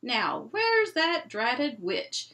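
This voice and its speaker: Female narrator as good-tempered giant, bumbling, honest, storybook character, high-pitched. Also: very animated, drawn-out words, sharp tone, full character voice. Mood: bemused. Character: huge slow-witted, good-tempered, polite male giant.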